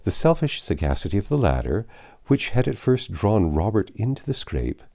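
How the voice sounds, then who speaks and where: Deep-voiced man reading a classic novel. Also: gravelly. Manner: measured, expressive reading with occasional slips. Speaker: audiobook narrator.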